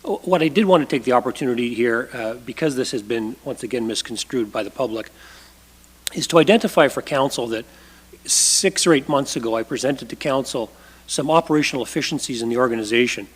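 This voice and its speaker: A man with a husky, slightly worn voice speaks to the council in measured, firm remarks, dropping fillers between points. His voice rings out with a long reverb across the large chamber, as if recorded from the room's own microphones. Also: medium pitch. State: losing his voice. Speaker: city staff official. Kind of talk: formal remarks at a council meeting.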